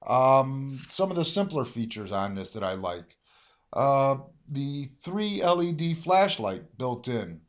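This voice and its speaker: A man's voice: medium pitch, very animated, slow. An American man chatting casually as he reviews a product, rambling and hesitant as he thinks aloud. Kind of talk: casual unboxing chat. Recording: roomy, dull lo-fi amateur audio, faint hiss.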